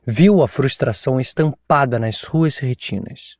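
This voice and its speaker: Male narrator, mid pitch, even measured storytelling, close studio mic, dry booth. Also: dry recording. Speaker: audiobook narrator. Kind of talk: scripted narration.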